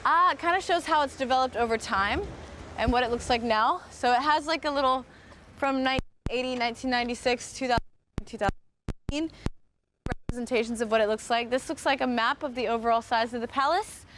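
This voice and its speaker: A woman's voice: fairly high pitch, fast talker, background noise. An American woman hosting a live-streamed walking tour outdoors, talking with viewers in a chatty, upbeat way and thinking aloud with lots of fillers. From United States. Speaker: host. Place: outdoors, walking around a historic site.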